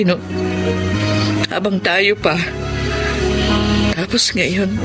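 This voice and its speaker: A woman playing a remorseful, sorrowful mother in a radio drama, subdued, earnest and pleading. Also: on the high side. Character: remorseful, sorrowful mother leaving troubled past. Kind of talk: melodramatic radio drama acting.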